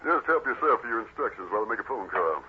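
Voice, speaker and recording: A man's voice: on the low side, very animated, quick pace. A man playing a scheming crime boss in an old radio drama, coaxing and commanding in manner. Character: smooth, scheming crime boss setting a trap. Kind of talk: 1940s radio acting. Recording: muffled, hissy old radio recording.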